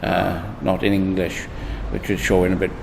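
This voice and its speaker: A man answering an interview question in a thoughtful, hesitant way, with frequent pauses. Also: deep voice, little pitch movement, vocal fry. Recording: faint noise with long reverb.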